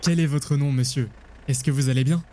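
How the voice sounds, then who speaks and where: A man playing a gentle American soldier. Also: medium pitch, fairly even intonation, brisk, very noisy, close to an everyday voice. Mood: concerned. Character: American soldier at a camp fence.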